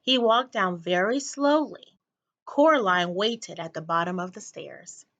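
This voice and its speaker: A woman narrating a children's book aloud in an expressive read-aloud style, like a teacher to a class. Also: on the low side, unhurried.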